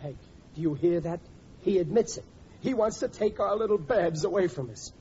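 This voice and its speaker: An actor playing a stubborn, indignant middle-aged American husband and father, with rough working-class grammar, in a 1940s radio comedy. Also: high-pitched, moderate pace, warm tone, close to an everyday voice. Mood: angry. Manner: ungrammatical, indignant, blustery. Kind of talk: 1940s radio sitcom acting. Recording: muffled, noisy old radio recording.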